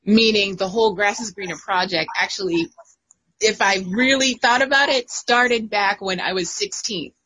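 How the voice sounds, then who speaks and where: Bright husky female co-host. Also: mid-range voice, moderate pace, low-quality recording. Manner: agreeable, frequent affirmations. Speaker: podcast co-host. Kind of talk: casual chat.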